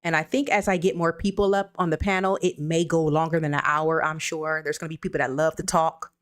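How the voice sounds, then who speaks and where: A woman with a somewhat low speaking voice hosts a relaxed livestream. She talks loosely and reassuringly to her viewers. She reads their comments aloud into her computer mic. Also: brisk, clean tone. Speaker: livestream host. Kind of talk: casual livestream chat. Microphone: streaming setup with computer mic.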